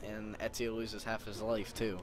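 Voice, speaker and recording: A man's voice: lowish voice, completely flat delivery, normal speed, music in the background. A young man hosting a casual gaming video, teasing his friend with a light, youthful-sounding voice. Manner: teasing, laughing. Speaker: host. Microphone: shared room mic.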